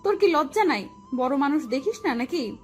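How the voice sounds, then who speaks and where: A woman playing a feisty, sharp-tongued young fiancee who scolds in an audio story. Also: high-pitched, lively intonation, moderate pace. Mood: indignant. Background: background music.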